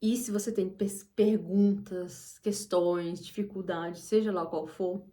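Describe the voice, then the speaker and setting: A woman's voice: fairly low pitch, fairly even intonation, takes it slowly, warm tone. A woman, talking casually to camera as the host of her channel, rambling with many restarts and asides. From Brazil. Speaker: English teacher and channel host.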